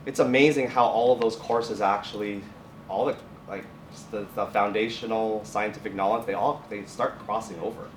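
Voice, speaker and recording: A low-pitched man gives an informal teacher's talk. He halts and rephrases often. The sound is roomy and picked up from a distance, with faint noise behind him. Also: steady tone. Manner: halting, self-correcting, restarts phrases. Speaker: high school biotech and science teacher, guest speaker. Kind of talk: informal presentation with question and answer. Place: classroom or lab at a college. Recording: echoey room, distant mic, faint noise.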